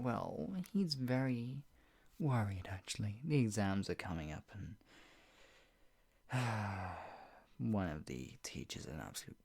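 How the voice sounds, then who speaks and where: A woman playing a menacing, obsessive yandere captor in an ASMR roleplay, husky and taunting, swinging between mock pity and threat, often dropping into a breathy whisper. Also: rumbling low, darkish tone, heavily acted. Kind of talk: ASMR roleplay, improvised monologue.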